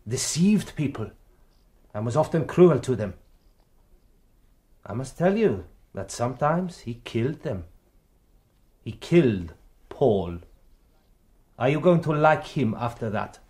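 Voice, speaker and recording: An actor playing a persistent, impatient police inspector, pressing with repeated questions in a radio drama. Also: male voice, medium pitch, very animated, measured pace, pauses often, a little mellow. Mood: stern, pressing.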